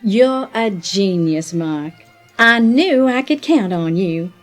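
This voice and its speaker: Woman playing a slow, steely, vengeful businesswoman. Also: medium pitch, lively intonation, soft background music. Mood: determined. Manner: deliberate, forceful, dramatic. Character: vengeful, determined businesswoman fighting for her oil. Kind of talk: audio drama acting.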